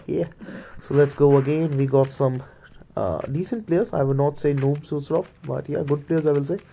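Male gamer, Indian accent, Hindi and Punjabi native. Relaxed, dark-toned, slightly high voice, casual running commentary. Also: moderate pace, vocal fry, a little hiss or hum. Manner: mutters play-by-play, slips into Hindi. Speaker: gaming video creator.

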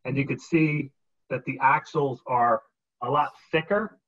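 A man in his forties giving a casual show-and-tell seminar from home on a video call, relaxed and explaining as he holds items up. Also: medium pitch, a little slow. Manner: relaxed, explains while holding up items, frequent ums. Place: home dining room with a glass cabinet. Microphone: webcam on a video call. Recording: muffled video-call audio.

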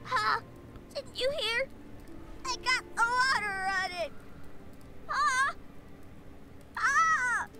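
A woman's voice: very high-pitched, very slow, long pauses, background noise, full character voice. A woman voicing an earnest young male villager boy in a game cutscene, with a folksy manner. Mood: anguished. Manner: folksy, drops g's.

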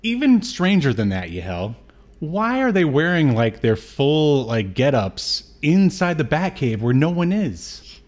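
A man's voice: fairly high pitch, very animated, unhurried, darkish tone. A man in his thirties co-hosting a podcast, recapping a film in a casual, joking way over a video call. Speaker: podcast co-host. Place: indoor room on a video call.

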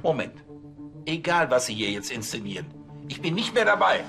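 An actor playing a pompous, easily exasperated police chief in a TV crime comedy. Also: male voice, medium pitch, sing-song, moderate pace, vocal fry, pushed and bright, faint music behind, heavily acted. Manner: pompous, theatrical.

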